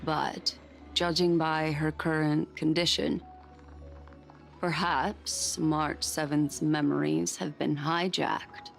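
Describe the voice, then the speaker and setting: An actress playing a calm, analytical woman in an anime game, composed and quietly concerned as she reasons. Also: deep voice, slow, vocal fry, faint music behind, exaggerated voice.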